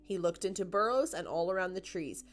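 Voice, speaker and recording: A woman's voice: lowish voice, animated, a little fast. A woman narrating a children's picture book as a scripted storytime read-aloud.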